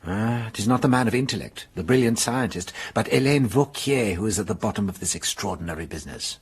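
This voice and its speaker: A shrewd police detective, explaining the case step by step. His voice sits fairly low and sounds husky, in the manner of a radio drama. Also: expressive, fast talker. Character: shrewd middle-aged male French police detective.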